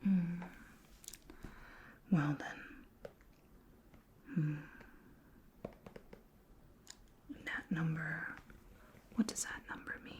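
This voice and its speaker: A woman voicing a curious, gently commanding alien queen in a soft, measured, formal whisper for an ASMR roleplay. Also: low-pitched, slightly rough, exaggerated voice. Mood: puzzled, musing.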